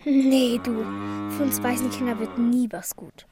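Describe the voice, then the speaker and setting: A woman's voice: on the high side, steady tone, a little slow, music in the background, lightly acted. A woman playing a cheeky, bossy orphan girl with wistful moments in a children's radio drama, speaking in a pert, colloquial way. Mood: stubborn, troubled.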